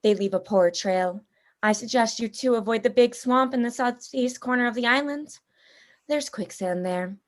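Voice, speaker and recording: A woman's voice: fairly high pitch, normal speed, close to an everyday voice. A woman playing a suave, predatory female general in a radio play, speaking with pompous, toying politeness. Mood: smug courtesy. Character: suave, genteel, predatory female general who hunts people.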